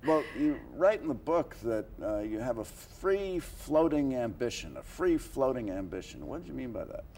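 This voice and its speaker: An older man hosting an interview in a formal talk-show style, halting and deliberate, pausing often between phrases. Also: medium pitch, sing-song, a little mellow, a little hiss or hum. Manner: halting, deliberate, frequent pauses. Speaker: interview host.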